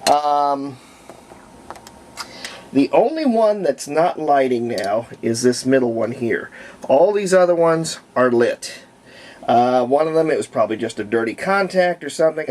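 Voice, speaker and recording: A man narrating casual hands-on repair work, thinking aloud in short, halting phrases. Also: mid-range voice. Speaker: hobbyist demonstrating antique radio repair. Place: room at home, indoors.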